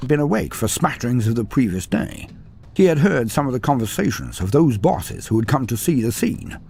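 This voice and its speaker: A man's voice: medium pitch, sing-song, brisk, gravelly, darkish tone. A man reading a fantasy story aloud with a dramatic delivery, his voice rising and falling through the telling. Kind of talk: scripted fantasy story narration.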